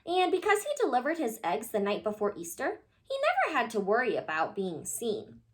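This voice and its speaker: High-pitched, animated woman reading to kids. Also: brisk. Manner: animated, expressive, exclaiming to young listeners. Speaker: storyteller reading aloud to children. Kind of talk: children's picture book read-aloud.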